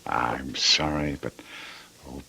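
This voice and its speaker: A man playing a troubled, guarded gentleman physician in an old radio drama, with a halting, theatrical delivery. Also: extremely low pitch, steady tone, vocal fry, breathy edge, heavily acted. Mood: amorous.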